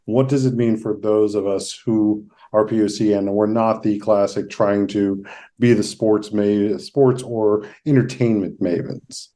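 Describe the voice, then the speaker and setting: A man hosting an interview podcast in a conversational, reflective way, with measured and somewhat wordy phrasing. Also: lowish voice, steady tone, mellow. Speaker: podcast host, therapist.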